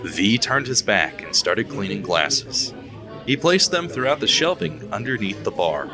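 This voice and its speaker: A man narrating a scripted audio drama in a steady storytelling manner, over background music. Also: fairly low pitch, unhurried.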